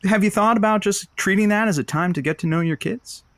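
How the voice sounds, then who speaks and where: An actor playing a radio producer filling in as host of a lonely-hearts show, wry and philosophical, a little hesitant in conversation. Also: male voice, sits high, fairly quick, fairly bright tone, no echo, clean studio sound. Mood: earnest, encouraging. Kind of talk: radio call-in monologue and banter.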